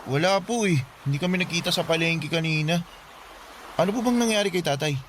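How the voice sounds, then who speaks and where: A young actor playing a dutiful eldest son just out of high school, polite and anxious, in a radio drama. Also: male voice, fairly quick, fairly bright tone, a little hiss or hum, close to an everyday voice. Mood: alarmed. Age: young adult.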